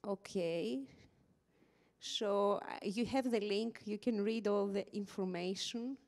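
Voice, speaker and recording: A woman's voice: mid-range voice, slow pace, a little mellow. A woman moderating the closing session of a workshop, speaking casually into a handheld mic, thanking the panel and talking straight to the audience. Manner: informal, thanks the panel, addresses the audience directly. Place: large hotel conference hall. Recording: long reverb of a large hall.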